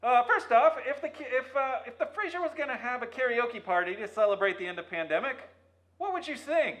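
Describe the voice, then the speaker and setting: A man's voice: very high voice, lively intonation, fairly quick, fairly bright tone. A man hosting a casual interview with quippy, bantering questions and asides. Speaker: interviewer, host. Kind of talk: casual, jokey interview chat.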